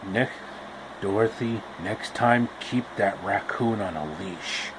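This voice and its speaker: A stern middle-aged uncle in a storybook retelling, scolding in a low, unhurried voice, as a man puts him on. His tone is firm and disapproving. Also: noisy background.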